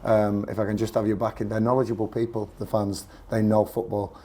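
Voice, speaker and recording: A man, a football manager speaking after a match with a croaky voice, earnest and repeating himself as he searches for words. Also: lowish voice, level tone, brisk, mellow. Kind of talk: post-match press interview.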